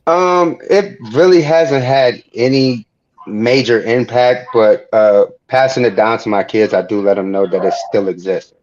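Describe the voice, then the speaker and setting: A man's voice: medium pitch, a little slow, a little mellow. An American man giving his view casually as a panelist, over dull, lo-fi online call audio. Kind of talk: casual discussion.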